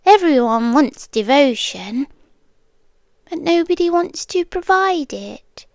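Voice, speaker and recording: A British woman performs a young girlfriend whose devotion has tipped into obsession, calm and intimate with an unsettling edge. Her high voice moves slowly, sliding into soft whispers right up against the mic. The sound is dry and close, with no room around it. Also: no room sound, full character voice. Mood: coolly menacing. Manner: soft-spoken, slipping into whispers. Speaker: ASMR roleplay performer. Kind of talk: ASMR roleplay.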